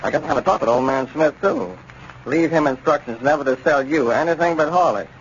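An actor playing a bossy, exasperated husband with an American accent, insistent and lecturing in a 1930s radio advertisement. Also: male voice, on the high side, expressive, brisk, lightly acted. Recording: muffled, hissy 1930s radio recording.

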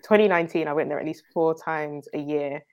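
A young London woman with a notably low voice, speaking as an interview guest. She talks in a relaxed, chatty way and laughs readily. Her speech is loose and filled with "like" and "um.". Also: brisk, muffled recording. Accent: London English. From North London, England. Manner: chatty, laughs often, leans on "like" and "um". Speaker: guest entrepreneur. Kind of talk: casual interview talk.

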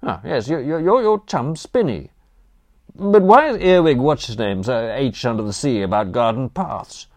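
Man as affable, muddled gentleman, swooping pitch. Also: very animated, normal speed. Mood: puzzled, cheerful. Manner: hesitant, chummy, muddled. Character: affable, dim-witted upper-class English gentleman, easily baffled. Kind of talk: audiobook character dialogue.

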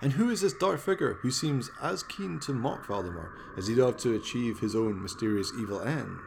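A man's voice: mid-range voice, expressive, moderate pace, creaky, noisy background. A man narrating a comic horror radio play in a melodramatic, ominous storytelling manner.

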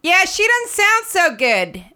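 A woman's voice: very high-pitched, wildly swooping pitch, slow pace, sharp tone. A woman co-hosting a comedy podcast; she is phlegmy with a cold and chats loosely, restarting her sentences. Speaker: podcast co-host. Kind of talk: casual comedic chat.

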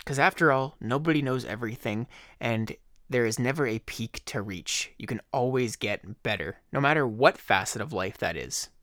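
Hoarse man hosting a casual podcast. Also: medium pitch, expressive, moderate pace, fairly bright tone, no echo, studio quality. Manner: rambling, conversational, with fillers. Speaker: podcast host. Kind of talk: casual solo podcast talk.

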